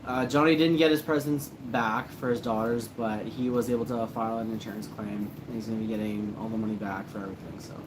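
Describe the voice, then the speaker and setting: A man hosting a casual livestream, relaxed and joking as he reads comments after a few drinks. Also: lowish voice, fast talker, hardly any pauses, noisy background. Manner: relaxed, joking, a little tipsy. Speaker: host of a paranormal channel livestream.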